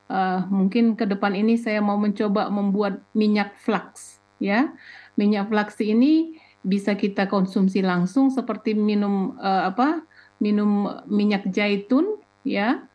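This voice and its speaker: A woman on a talk show, explaining as a guest grower. She often asks herself questions and fills her talk with pauses. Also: mid-range voice, lo-fi sound.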